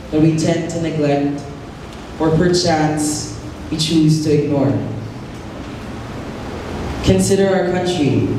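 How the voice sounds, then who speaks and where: A man's voice: fairly high pitch, background noise. A man with a Filipino accent delivering a grave, oratorical scripted prologue as the narrator of a stage play. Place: large hall, stage play recorded from afar. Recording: echoey distant hall recording with loud music. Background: loud music.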